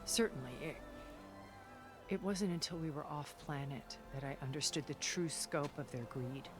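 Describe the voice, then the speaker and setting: An actress voicing a cultured, centuries-old woman recluse in a video game cutscene, speaking in a calm, deliberate and elegant way. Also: low voice, lightly acted. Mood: measured. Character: cultured, ancient woman recluse, measured and regretful. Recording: game cutscene with music underneath.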